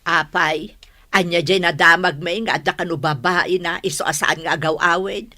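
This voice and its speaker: A woman's voice: on the low side, steady tone, normal speed, pushed and bright, dry recording. An actress playing a blunt, worried mother of a grown daughter, questioning insistently in a radio drama. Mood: confronting. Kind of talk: Ilocano radio drama acting.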